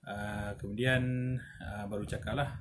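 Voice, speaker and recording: A man's voice: fairly low pitch, steady tone, a little slow, background noise. A man with a Malaysian accent giving a casual, explanatory product review, often adding little checking tags. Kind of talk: casual unboxing and review talk.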